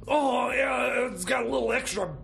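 A man's voice: very high voice, normal speed, gravelly, a bit bright, raised voice, slight background noise, heavily acted. A man playing a frantic, boozy, scheming mechanic in improvised radio comedy, stammering and repeating words as he rambles. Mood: giddy, woozy. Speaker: comedy sidekick on a radio show.

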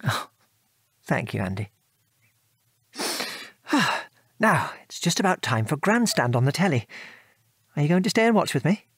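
An actor playing a gentle, reflective British father and war veteran in an audio drama, speaking in a measured, thoughtful way. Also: a little high, sing-song, slightly rough, dry recording, studio quality, full character voice. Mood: moved, reflective.

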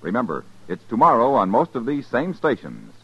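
A man's voice: mid-range voice, huge pitch swings, moderate pace, slightly rough, no room sound. A man making a dramatic, emphatic scripted announcement as a 1950s radio announcer. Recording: dull 1950s radio recording.

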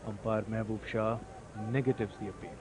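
A man giving ball-by-ball cricket commentary, lifting his voice sharply when wickets fall. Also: fairly low pitch. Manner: excited rises on wickets, measured otherwise. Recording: noisy old broadcast tape.

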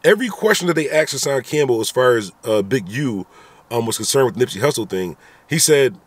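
Man, 30s, rapid delivery, dry close sound, car vlog, opinion commentary, rhetorical asides. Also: mid-range voice, slightly rough, no echo. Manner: animated, repetitive, rhetorical "right?" asides. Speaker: vlogger. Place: inside a parked car. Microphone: phone camera at arm's length.

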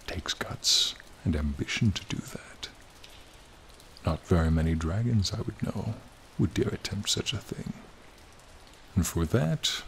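A man voicing a charming, friendly ancient dragon in a soothing, formal, gently teasing ASMR roleplay. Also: sits low, gravelly, slight background noise, heavily acted. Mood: teasing, intimate. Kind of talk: ASMR roleplay, slow intimate monologue. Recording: rain and cave ambience behind.

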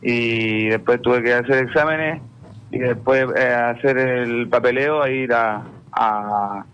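A young Chilean man, a football player guest on a radio show, answering haltingly with many repeated words and frequent "claro". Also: mid-range voice, fairly bright tone, muffled recording, a little hiss or hum. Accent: Chilean Spanish.

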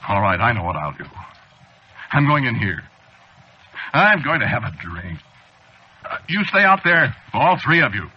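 An actor playing a haunted, paranoid man losing his mind in 1940s radio style, halting and agitated as he confides his story. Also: medium pitch, very animated, slightly rough. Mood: brooding. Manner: halting, agitated, repeating himself. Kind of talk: 1940s radio acting, confiding first-person narration. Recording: muffled, noisy vintage radio recording.

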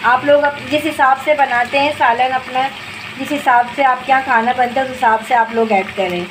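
A woman guides her viewers through a home-cooked dish in a homely, instructive way. Her voice sits fairly high. She talks over the stove, recorded on a phone above the pot, and the sizzle and stirring of the pan come through clearly behind her. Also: fairly even intonation, normal speed. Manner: homely, step-by-step instructive, addresses viewers directly. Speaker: cooking channel host. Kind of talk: home cooking tutorial narration. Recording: noisy with frying sizzle behind.

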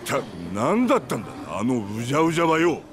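A male voice actor playing a gruff, hot-tempered resistance leader in a video game, blunt in delivery. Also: medium pitch, big pitch swings, fast, over a noisy crowd, lightly acted. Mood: grumbling. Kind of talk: Japanese video game voice acting.

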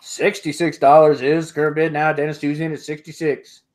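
A man's voice: on the high side, normal speed. Man calling bids on a video call. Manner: steady repetitive bid calling, laughs often. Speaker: auctioneer host. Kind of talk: online auction calling. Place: home room with sports memorabilia. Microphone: earbud headset on video call.

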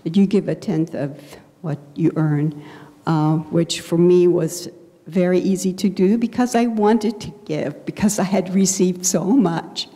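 An older woman reflects on her own life in an interview. Her voice is soft-edged and mellow, a little on the low side. She speaks into a handheld mic in a reverberant church. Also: measured pace. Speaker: church member being interviewed. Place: indoor church sanctuary. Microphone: handheld microphone. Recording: reverberant hall, handheld microphone.

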